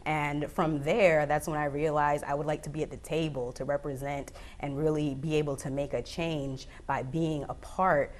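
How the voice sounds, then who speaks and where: Low-pitched woman from jamaica, politician talking about her path, earnest and measured. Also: fairly even intonation, a little mellow. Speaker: state delegate guest. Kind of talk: interview answers.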